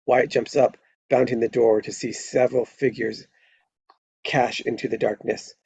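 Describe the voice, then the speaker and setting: Gravelly, flat-toned man reading stage directions. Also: mid-range voice, normal speed, no room sound, dull audio. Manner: stumbles over and corrects words. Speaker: screenplay narrator. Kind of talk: live table read of script narration.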